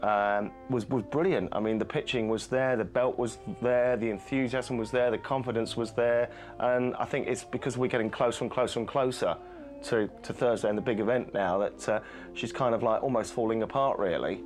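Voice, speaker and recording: A man's voice: medium pitch, fairly even intonation, fairly quick, darkish tone, faint music behind. A man working as a vocal coach, giving firm, blunt pep talks to a singer. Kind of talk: coaching and reality TV interview.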